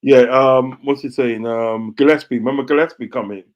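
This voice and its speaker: A man's voice: mid-range voice, fairly even intonation, fairly quick, muffled recording. A man from Trinidad with a Trinidadian accent, co-hosting a football livestream and reading out the chat in a laid-back, slangy way. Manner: laid-back, slangy, checks in with "you get me". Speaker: co-host reading the live chat.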